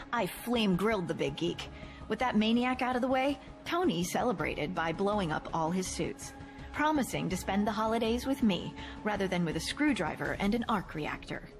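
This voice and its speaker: A woman's voice: mid-range voice, natural voice. An actress playing a chatty woman who narrates game cutscenes with wry, joking asides. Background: music.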